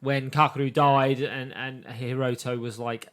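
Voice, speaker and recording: A young man with a bright, forward voice talks through a story as a podcast co-host. He is relaxed and thoughtful, and acts out characters' lines as he recaps. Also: medium pitch, measured pace. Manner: thoughtful, retells scenes with quoted lines. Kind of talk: casual chat recapping an anime.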